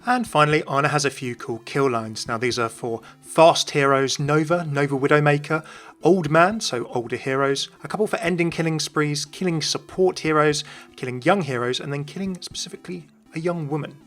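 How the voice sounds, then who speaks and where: A man's voice: a little high, fairly quick, a bit bright, soft background music. A man hosting a video, reading scripted narration. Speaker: video host.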